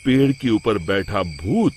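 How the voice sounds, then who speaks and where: A man's voice: lowish voice, sing-song, slow, darkish tone, a little hiss or hum. A man narrating a scripted children's story in a dramatic storyteller style.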